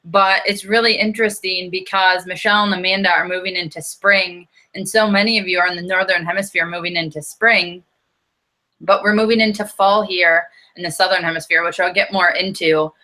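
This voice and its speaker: American woman co-host, bright, lowish voice, chatty and casual. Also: fairly even intonation, a little fast. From United States. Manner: chatty, self-deprecating, says "like" often. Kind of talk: casual chat.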